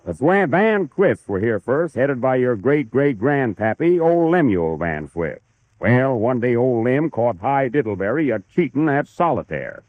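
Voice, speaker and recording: Thin old radio sound, guy doing a grumpy hillbilly pappy, kentucky drawl, really swingy pitch. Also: big pitch swings, a little slow, dry recording, phone-quality sound. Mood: stubborn, grudging. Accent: put-on rural Kentucky hillbilly. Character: cantankerous old hillbilly pappy nursing a family feud. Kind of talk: 1930s radio comedy acting. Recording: thin, band-limited 1930s radio recording.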